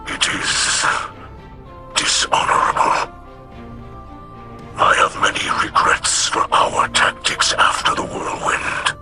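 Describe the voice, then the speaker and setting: An actor playing a grave, honorable alien warrior in a video game, speaking formally in a breathy, growling rasp. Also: male voice, takes it slowly, long pauses, bright, forceful tone. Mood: grave, regretful. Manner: solemn, formal speech without contractions. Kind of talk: scripted video game dialogue. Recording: music behind the voice.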